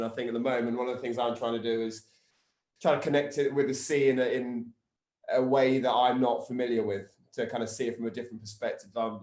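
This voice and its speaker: A man's voice: mid-range voice, darkish tone, roomy sound. A man introducing himself on a panel over a video call, winging it with hesitant fillers and restarts.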